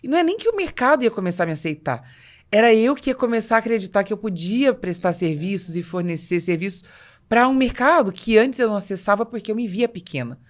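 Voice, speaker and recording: A woman's voice: medium pitch, quick pace. A woman with a Brazilian accent and a mid-range voice hosts a business podcast. She chats conversationally, tells personal stories with animation and acts out the dialogue as she goes. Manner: animated storyteller, acting out dialogue. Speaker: podcast host and business coach.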